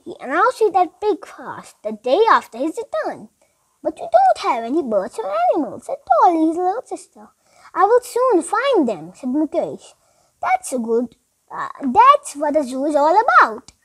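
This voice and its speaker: A young schoolchild with a high voice reads a story aloud. The delivery is very lively and emphatic, swinging up and down as in a class recitation, with small stumbles over the words. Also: moderate pace, rough recording. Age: child, about eight.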